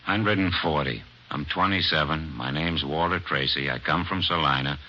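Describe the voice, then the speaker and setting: An actor playing a cocky, sullen young American crook in an old radio crime drama, curt and sarcastic. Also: male voice, rumbling low, normal speed, sharp tone, exaggerated voice. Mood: sullen, defiant. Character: sullen, cocky young male burglary suspect, wisecracking. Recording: dull, noisy old radio recording.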